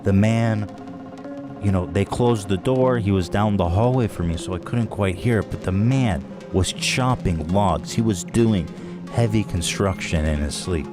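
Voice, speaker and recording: A man hosting a podcast, telling a comic story in a dramatic way, dropping now and then into a hushed whisper. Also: on the low side, measured pace, music in the background. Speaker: podcast host.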